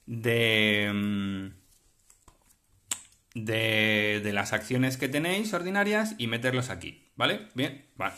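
A man with a Spain Spanish accent chatting off the cuff as a collector explaining things, halting and rambling as he checks in with listeners. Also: mid-range voice, sharp tone. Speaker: vlogger and card collector. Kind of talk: casual off-the-cuff explanatory chat. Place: indoors at a table, handling cards.

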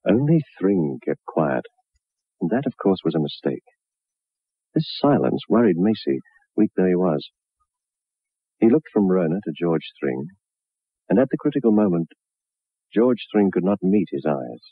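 An actor as a sardonic storyteller of macabre tales, narrating a vintage radio horror drama in a measured, suspenseful manner. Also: male voice, sits low, sing-song, slightly rough, no echo, full character voice. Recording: narrow-band vintage 1960s radio recording.

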